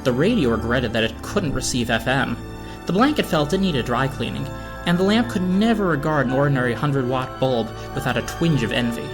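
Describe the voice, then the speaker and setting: A man's voice: mid-range voice, expressive, fairly quick. A man reading a story aloud as narrator, in a dramatic storybook style. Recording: music behind the voice. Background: film score music.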